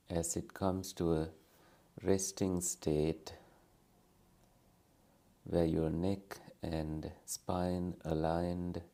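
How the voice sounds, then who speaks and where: Deep, slow man guiding meditation. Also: creaky. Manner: gentle, soothing, with long pauses between short phrases. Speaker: meditation teacher. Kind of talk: guided meditation.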